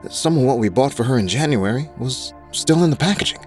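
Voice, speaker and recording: Exasperated father with animated pitch, man acting. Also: brisk, lightly acted. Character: bitter, exasperated middle-aged father of a runaway. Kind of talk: audio drama acting. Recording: music under the dialogue.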